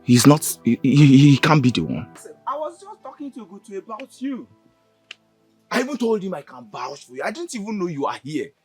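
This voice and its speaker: Un homme jouant Veshima, a defensive pleading friend. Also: male voice, fairly high pitch, huge pitch swings, normal speed, over loud music. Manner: pleading, protesting innocence. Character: anxious defensive young man caught between friends.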